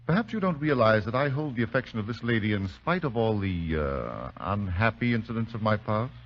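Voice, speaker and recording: An old, muffled radio recording of an aristocratic villain. He speaks low, with wide, gliding intonation, silky and condescending, every polite phrase edged with menace. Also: sing-song, fairly quick, slight background noise. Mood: smug amusement. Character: suave, menacing aristocratic male villain, smugly amused. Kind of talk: 1940s radio drama acting.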